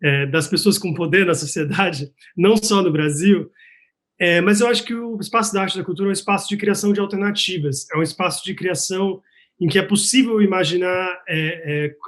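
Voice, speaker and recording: A man's voice: sits high, fairly quick, fairly bright tone. A man with a Bahian Brazilian accent speaking earnestly on an online panel, hesitating with fillers, over a video call. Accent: Bahian Brazilian Portuguese. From Salvador, Bahia, Brazil. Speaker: researcher, panelist. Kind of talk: casual online panel talk.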